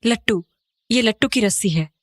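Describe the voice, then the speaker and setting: A woman playing an uneasy young mother in a horror audio drama, expressive and questioning. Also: a little high, normal speed, dry recording, clean studio sound, close to an everyday voice. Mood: subdued. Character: uneasy young mother back in childhood home.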